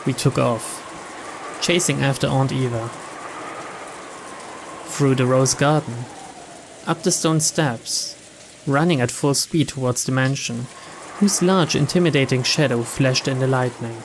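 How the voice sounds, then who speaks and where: A man's voice: medium pitch, measured pace, long pauses. A man giving a dramatic scripted narration of a visual novel as a let's play reader. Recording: clear background ambience noise.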